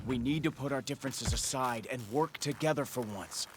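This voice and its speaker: A man's voice: medium pitch, moderate pace, background noise, lightly acted. An actor playing a resolute young male superhero team leader in a video game, speaking firmly and earnestly. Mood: earnest, urging. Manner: firm, earnest. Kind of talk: dramatic video game acting. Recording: loud music over the voice.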